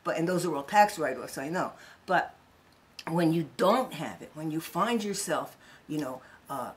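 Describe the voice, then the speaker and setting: Lower-voiced chatty woman guest. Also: animated, normal speed. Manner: chatty, conversational, frequent fillers. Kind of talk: casual spiritual chat.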